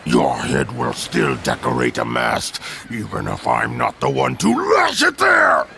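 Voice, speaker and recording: An actor playing a cruel, taunting warlord commander with a gravelly voice in a video game cutscene. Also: male voice, lowish voice, wildly swooping pitch, measured pace, sharp tone, a little hiss or hum, exaggerated voice. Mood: defiant threat. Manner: threatening, commanding.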